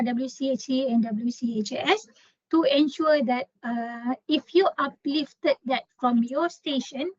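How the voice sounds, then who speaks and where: A woman explaining a work procedure in an informal briefing, halting often as she searches for words. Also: high voice, no room sound, dull audio. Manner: halting, explanatory, with frequent hesitations. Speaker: trainer presenting a staff procedure.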